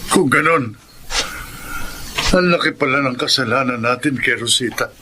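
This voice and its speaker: An actor playing a questioning, remorseful younger brother in a Filipino radio drama, with a Filipino accent. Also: male voice, medium pitch, moderate pace, background noise, lightly acted.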